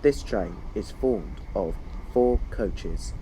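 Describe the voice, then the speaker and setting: A male station announcer with a low, very mellow and dark voice reads platform information. His delivery is formal and measured, over faint background noise. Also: animated, poor audio.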